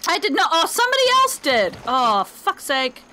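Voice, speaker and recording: Casting: wry woman gamer, high and lively, faint hiss behind. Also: normal speed. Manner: wry, playful, deadpan asides. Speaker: game player. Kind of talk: casual co-op gameplay chat.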